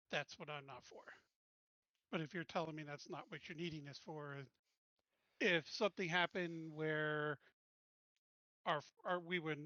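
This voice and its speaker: Player guy, kinda high bright voice, blunt about the deal, dry mic. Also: no room sound, muffled recording. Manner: blunt, firm, talks through terms. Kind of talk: casual in-character negotiation at a game table.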